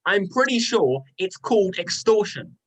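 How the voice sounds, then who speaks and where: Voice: medium pitch, sing-song, a little slow. An amateur actor playing a mocking male trickster god with dry sarcasm in a myth play reading.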